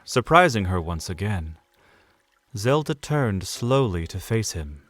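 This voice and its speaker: A man narrating an audio drama in an expressive storytelling manner. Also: fairly low pitch.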